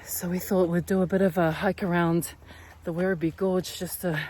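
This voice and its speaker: A woman's voice: on the low side, little pitch movement, normal speed, dark tone, slight background noise. A young woman vlogging on a hiking trail, chatty and out of breath, chesty from a cold, filming on her phone. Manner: out of breath, chatty, sighing while climbing. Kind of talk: casual hiking vlog. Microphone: phone held while walking.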